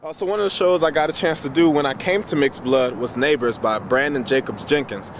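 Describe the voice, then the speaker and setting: A man who works as a theater director, presenting informally and speaking straight to listeners, pausing mid-thought. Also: medium pitch. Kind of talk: informal spoken introduction.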